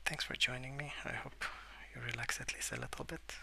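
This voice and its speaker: A man speaking softly and hesitantly in a rambling ASMR style, often slipping into whispers at a desk indoors. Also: medium pitch, steady tone, brisk, vocal fry. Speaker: host of a colouring ASMR channel. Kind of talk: soft-spoken rambling ASMR. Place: at a wooden desk indoors, with pencil sounds.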